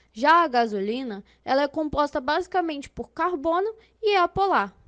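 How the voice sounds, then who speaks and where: A woman with a Brazilian accent voicing a patient female inspector who explains step by step in a scripted educational cartoon. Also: sits high.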